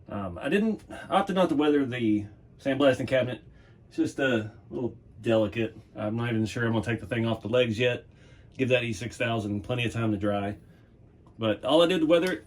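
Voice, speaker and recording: A man hosting a hobby vlog from his workshop, casually rambling and chuckling, his voice going hoarse. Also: mid-range voice. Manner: relaxed, rambling, chuckles often. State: losing his voice. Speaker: hobby channel host. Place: home workshop.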